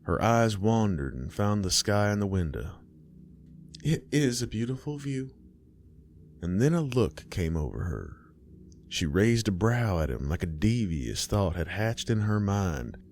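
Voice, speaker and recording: A man narrating a horror story in a slow, measured storytelling manner. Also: sits low, big pitch swings, a little mellow.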